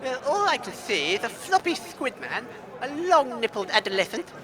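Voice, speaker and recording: A man's voice: very high voice, sing-song, moderate pace, fairly bright tone, heavily acted. A man voicing a bossy, haughty wasp overlord in a comic audio drama, scolding in a prim, condescending way. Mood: indignant. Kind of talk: comedic audio drama acting. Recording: faint outdoor crowd behind.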